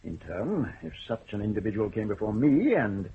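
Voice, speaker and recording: An actor playing a stern, ironic older judge in a radio drama, speaking in a measured, deliberate way. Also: male voice, lowish voice, very animated, a little hiss or hum. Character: stern elderly male Scottish judge, dry and ironic. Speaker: senior judge. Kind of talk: 1970s BBC radio drama acting. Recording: muffled old radio broadcast.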